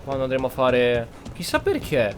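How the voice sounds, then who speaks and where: A man's voice: mid-range voice, huge pitch swings, brisk, music in the background. An Italian man giving casual, informal gameplay commentary with roleplay, sometimes mildly sweary. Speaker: game streamer, host.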